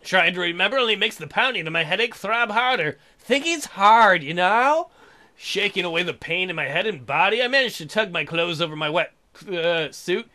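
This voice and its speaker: A man's voice: high-pitched, animated, normal speed, bright, forceful tone. A man hosting a let's play, reading a visual novel aloud with crude, irreverent joking asides.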